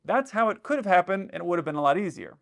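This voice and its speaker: A man's voice: high voice, lively intonation, rapid-fire, vocal fry, a bit bright. A man who is a pilot and host explains things in casual commentary, conversationally acting out imagined dialogue as he goes.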